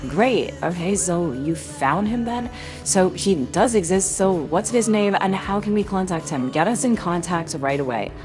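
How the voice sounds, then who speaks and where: A woman playing a calm, persuasive mother of a teenager in an amateur voiced roleplay, with a gentle, explanatory manner. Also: on the low side, normal speed, close to an everyday voice. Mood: urgent. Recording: music behind the voice.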